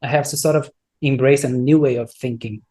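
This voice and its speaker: Guy, soft warm voice, kinda halting, thinks out loud. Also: medium pitch, steady tone, husky. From Brazil. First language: Brazilian Portuguese. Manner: searching for words, many restarts, thoughtful. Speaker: guest, software entrepreneur. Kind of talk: casual interview answers.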